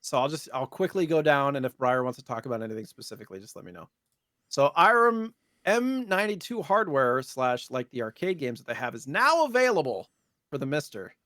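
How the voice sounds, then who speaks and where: A man's voice: mid-range voice, big pitch swings, fairly quick, fairly bright tone, no echo, clean studio sound. A man co-hosting a podcast, chatting casually and jokingly about gaming news. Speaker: podcast co-host.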